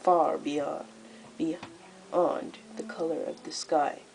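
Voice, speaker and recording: A teenage girl with a low-pitched voice narrates a storybook aloud. Her reading is expressive and slightly halting, with clear noise behind it. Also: rough recording. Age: teens. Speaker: amateur audiobook reader. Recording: noticeable background noise.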